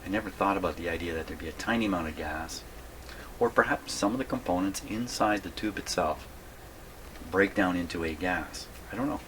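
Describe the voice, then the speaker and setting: A man's voice: sits low, brisk. A man hosting a hands-on electronics repair video in his workshop, casually talking through his work and muttering to himself. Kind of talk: casual hands-on demonstration, thinking aloud. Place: home radio workshop. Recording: clear background noise.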